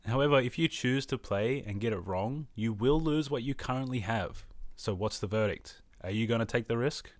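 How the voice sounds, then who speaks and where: A man's voice: mid-range voice, moderate pace, dry recording, studio quality, lightly acted. A man playing a genial radio host and Earth enthusiast in a science fiction audio drama. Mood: upbeat.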